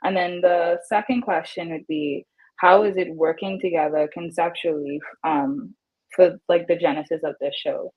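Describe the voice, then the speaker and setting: A woman with a low, breathy voice leads a relaxed video-call panel and asks questions in a halting way with many fillers. Her sound is a bit muffled and dull. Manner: hesitant, filler-heavy phrasing. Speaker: moderator. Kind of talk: casual panel conversation over a video call.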